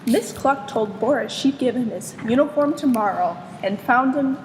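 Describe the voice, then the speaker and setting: A woman reading a children's storybook aloud in an expressive narrating style. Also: a little high, moderate pace. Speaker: storybook reader. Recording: echoey reverberant room, faint noise.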